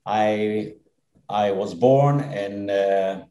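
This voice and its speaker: A man in his fifties with an Israeli accent, a dentist and company head speaking as a podcast guest in an earnest, halting way with many fillers. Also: on the low side, dark tone, reverberant. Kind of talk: podcast interview.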